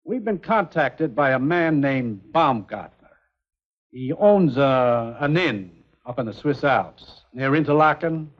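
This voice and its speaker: An actor with an American accent playing a businesslike intelligence commissioner giving a clipped briefing in a 1950s television spy drama. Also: male voice, medium pitch, animated, slow pace. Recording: dull vintage TV soundtrack.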